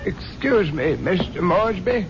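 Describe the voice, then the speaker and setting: An actor in a 1970s radio drama playing a deferential household servant who speaks in a stiff, formal, polite way. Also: male voice, high voice, expressive, measured pace, loud music behind the voice. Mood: polite concern. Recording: muffled old radio, faint noise.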